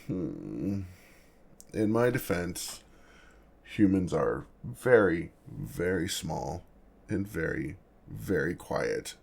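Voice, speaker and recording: A man playing an awkward, apologetic, gentle male dragon in an ASMR roleplay, with a gravelly, husky voice and a halting, flustered manner. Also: low-pitched, very animated, slight background noise, heavily acted. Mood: excited, defensive. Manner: halting, flustered, self-correcting, measured.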